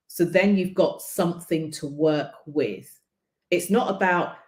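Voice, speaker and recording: A woman coach with a deep voice and no marked accent, talking casually in a broadcast and filling her speech with ums and you-knows. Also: a little mellow, echoey room. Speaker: visibility coach hosting a broadcast. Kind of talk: casual spoken coaching talk.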